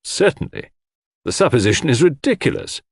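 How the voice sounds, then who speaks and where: A man acts out an authoritative doctor in a mystery audiobook, scornful and formal. His emphatic testimony has strongly varied pitch and a close, dry sound. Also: big pitch swings, brisk, breathy edge, no echo. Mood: dismissive, certain. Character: dismissive, authoritative male doctor giving expert testimony.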